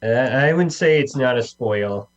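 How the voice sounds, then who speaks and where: A man's voice: medium pitch, lively intonation, unhurried. A twenty-year-old man chatting casually as a guest on a show. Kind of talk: casual chat. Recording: muffled lo-fi audio with mains hum.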